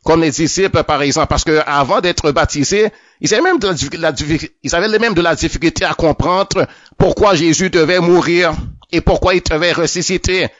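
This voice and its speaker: A man's voice: fairly high pitch, a little fast, a bit bright, no echo. A man giving a spoken religious testimony, often stammering over and repeating his words as he goes. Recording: dull lo-fi, dry.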